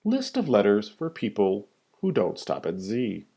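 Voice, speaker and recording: A man reading a children's rhyming picture book aloud like a teacher, with a theatrical, singsong delivery and drawn-out emphasis. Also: on the low side, wildly swooping pitch, vocal fry, mellow, breathy edge.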